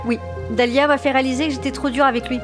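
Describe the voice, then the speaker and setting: A woman acts a blunt, feisty, loyal wife in an audio comedy. Her voice is high and she talks quickly, with clear music behind her. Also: fairly even intonation, lightly acted. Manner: blunt, brisk. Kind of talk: comic audio drama.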